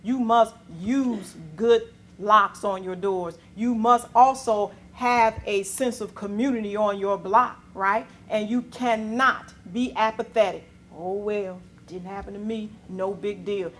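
A woman's voice: mid-range voice, fairly even intonation, slow pace, few pauses, a bit bright, slight background noise. A middle-aged woman police officer giving an emphatic presentation to a community meeting in a hall. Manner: emphatic, repeats key points. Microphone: handheld microphone.

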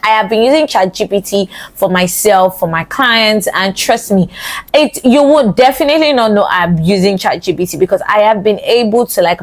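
A young woman giving a casual social media tutorial, chatty and repetitive, stuttering and restarting her sentences, speaking close into a studio mic. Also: lowish voice. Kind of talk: casual talking-head tutorial. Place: small indoor studio with colored lights. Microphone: large studio mic close in front.

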